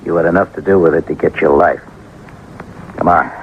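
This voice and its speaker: A man's voice: deep voice, fairly even intonation, brisk, husky. A man acting a hard-nosed, relentless police detective in an old radio crime drama, questioning bluntly. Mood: stern, pressing. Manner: blunt, pressing interrogator. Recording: noisy, muffled vintage radio recording.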